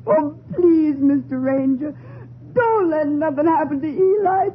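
Casting: a fearful, submissive woman, high voice, halting and imploring, old radio serial with a narrow tinny sound. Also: expressive, a little hiss or hum. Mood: fearful, pleading. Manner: deferential, hesitant, pleading. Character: frightened, pleading woman shielding her baby brother. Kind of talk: 1950s radio drama acting. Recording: phone-like old radio recording.

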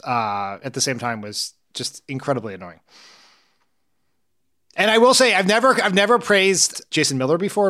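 A man's voice: medium pitch, a bit bright. A man from Pennsylvania co-hosting a casual political podcast, wry and sardonic, prone to little rants. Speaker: podcast co-host.

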